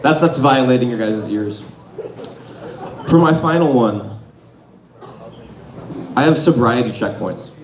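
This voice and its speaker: A man's voice: mid-range voice, expressive, unhurried, pauses often, noisy background. A man doing a self-deprecating stand-up set in a comedy club, rambling and stopping to correct himself. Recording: distant, echoey lo-fi club with crowd.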